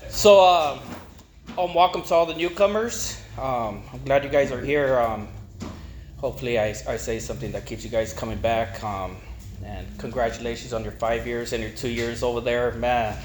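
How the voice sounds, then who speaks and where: A middle-aged man gives an informal personal testimony, rambling and haltingly repeating words. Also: mid-range voice. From East Los Angeles, United States. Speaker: speaker at a recovery meeting. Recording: echoey room with faint noise.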